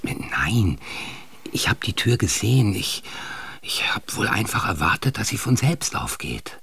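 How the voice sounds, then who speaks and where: An actor playing a devoted but uneasy, self-doubting husband in a radio drama. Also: male voice, lowish voice, sing-song, a little slow, vocal fry, pushed and bright, husky, in a whisper, heavily acted. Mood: uneasy, confiding. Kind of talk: German radio drama acting.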